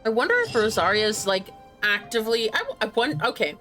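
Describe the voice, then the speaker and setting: A woman doing casual gameplay commentary. Her voice is mid-range and very animated in pitch, and she muses aloud haltingly. Faint game music sits behind her. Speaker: game streamer.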